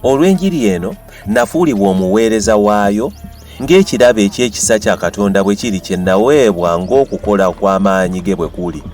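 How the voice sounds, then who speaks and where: Ugandan guy doing a dramatized bible reading, lowish voice, bit of music behind him. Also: lively intonation, brisk, darkish tone. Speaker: Bible reader.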